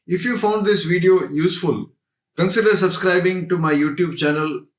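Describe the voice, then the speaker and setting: A man's voice: high-pitched, normal speed. A man methodically explaining equipment as the presenter of a tech tutorial.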